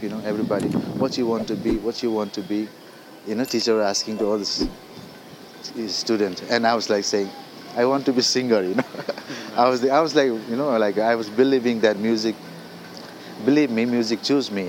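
A Nepali-speaking man with a Nepali accent, a singer-songwriter talking casually as a guest, halting and full of fillers like "you know" and "like". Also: fairly low pitch, noisy background. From Darjeeling hills, India. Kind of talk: casual interview. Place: indoors.

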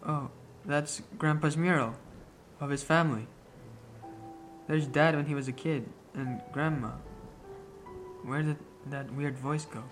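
A man's voice: a little high, fairly even intonation, unhurried, pauses often, soft background music, lightly acted. A man playing an anxious, grieving young prince in an audio drama. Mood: startled, confused.

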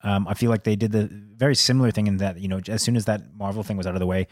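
A man's voice: lowish voice, fairly even intonation, quick pace, no room sound, clean studio sound. A man co-hosting a casual film review podcast, talking informally and ready to debate.

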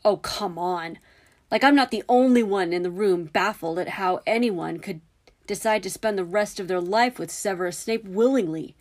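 A woman's voice: medium pitch, moderate pace, a little mellow, lightly acted. A woman voicing an indignant, scornful teenage boy wizard with emphatic, sarcastic outbursts. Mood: exasperated.

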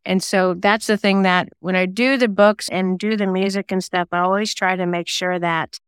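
Woman with a clear, smooth voice. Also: fairly low pitch, fairly bright tone, dry recording, studio quality. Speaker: guest, singer-songwriter and novelist. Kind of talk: conversational interview answers.

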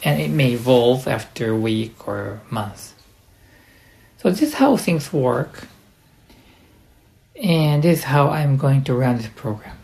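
A man with a Japanese accent, a coach hosting an instructional podcast, speaking deliberately in short, halting phrases. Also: on the high side, gravelly, a little mellow. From Japan, partly raised in the United States.

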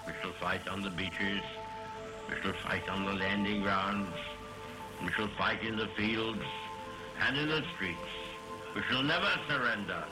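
A man's voice: fairly low pitch, unhurried, lightly acted, poor audio. A man playing a solemn older British wartime leader, delivering defiant 1940s radio oratory. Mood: defiant, grave. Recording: radio voice buried in loud music.

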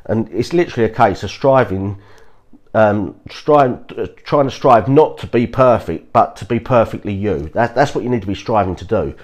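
A man with a somewhat low voice, hoarse and croaky from a cold. He hosts a podcast in a loose, unscripted way, restarting sentences and urging his listeners along with an earnest "trust me". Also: animated, normal speed. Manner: earnest, repeats "guys, trust me", frequent restarts. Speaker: podcast host. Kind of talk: casual motivational talk.

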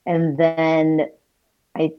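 Woman in her 30s from Malaysia, low voice, candid self-deprecating interview guest, dull lo-fi recording. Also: monotone, very slow, clear, resonant tone. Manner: thoughtful, self-deprecating, many fillers. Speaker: guest, visual storyteller. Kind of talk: casual conversational interview. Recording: dull lo-fi sound.

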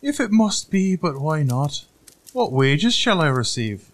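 A man's voice: sing-song, a little slow. A man voicing a small, cheeky, boastful tailor in a fairy tale, with a theatrical, show-off manner. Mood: whimpering, uneasy. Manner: boastful, theatrical, high-flown.

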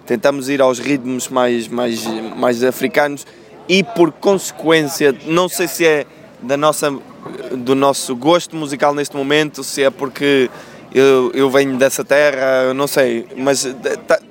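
A man's voice: medium pitch, fairly even intonation, unhurried. A man from Venezuela, a band member being interviewed outdoors, talking animatedly and restarting his words often. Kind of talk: casual interview chat.

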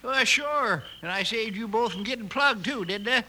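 An actor playing a gleeful 83-year-old storekeeper and practical joker in a 1950s western radio drama, with a chuckling folksy drawl. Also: male voice, high voice, moderate pace, pushed and bright. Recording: noisy vintage radio recording.

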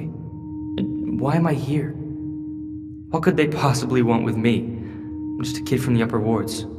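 A man's voice: medium pitch, lightly acted. Man playing a hesitant teenage boy, long reverb. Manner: hesitant, halting, self-questioning. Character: anxious, conflicted teenage boy stranded from home. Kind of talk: audio drama acting with inner monologue. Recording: long reverb, clear music behind.

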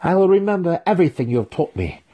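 A man voicing a proud, defiant young dark elf warrior who declaims earnestly in a fantasy audiobook. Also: on the high side, big pitch swings, fast talker, husky, muffled recording, voice pushed up.

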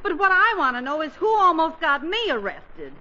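A woman's voice: extremely high pitch, sing-song, moderate pace, pushed and bright, muffled recording, exaggerated voice. A woman playing a fretful, fussy middle-aged wife and mother in 1940s radio comedy, on an old recording. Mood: sheepish. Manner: fussy, exclamatory. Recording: band-limited, noisy 1940s radio recording.

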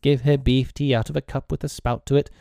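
Guy doing a solemn storybook kid acting all grown up and important, higher voice, eager to please. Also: level tone, brisk, vocal fry, warm tone, dry recording, clean studio sound, close to an everyday voice. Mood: solemn, self-important.

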